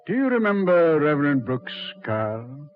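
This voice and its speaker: An actor playing a suave, mocking Devil in a measured, mock-polite 1940s radio horror style, on a muffled old recording. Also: male voice, fairly high pitch, big pitch swings, soft background music. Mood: taunting. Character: the Devil, suave, mocking, coldly taunting male master of hell.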